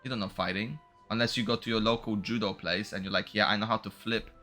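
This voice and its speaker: A man giving casual, excitable, slangy game playthrough commentary, switching between reading dialogue aloud and reacting. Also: on the low side, quick pace, soft background music. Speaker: game streamer.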